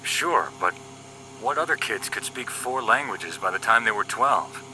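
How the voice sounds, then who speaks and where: A man acts out a wisecracking young male agent in an audio drama. His voice sits fairly low but has a very sharp, forceful edge, and he banters flippantly over a faint hum. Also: lively intonation, a little slow, heavily acted, low-quality recording. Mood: breezy. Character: wisecracking, cocky young male paramilitary agent, teasing optimist. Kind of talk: scripted audio drama dialogue.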